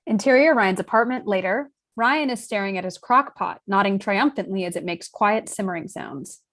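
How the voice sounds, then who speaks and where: A woman reads stage directions for a screenplay table read. Her voice is mid-pitched, and she delivers scripted scene narration. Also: moderate pace, fairly bright tone.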